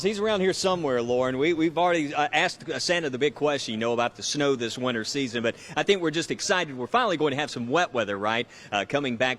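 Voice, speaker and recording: A man's voice: fairly high pitch, a bit bright, slight background noise. A man, a meteorologist reporting live from a community event, talking in a friendly, loose way with fillers.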